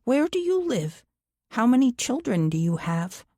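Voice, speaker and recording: Audiobook part: cheeky, talkative little boy acted by a woman, high-pitched, recorded dry. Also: big pitch swings, a little slow, warm tone, no echo. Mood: curious, chatty. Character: chatty, cheeky small boy who loves trains. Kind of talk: audiobook character voice.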